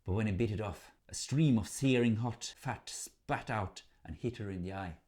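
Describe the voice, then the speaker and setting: Male storyteller, lowish pitch, theatrical, measured, dramatic folk tale narration. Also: a little mellow.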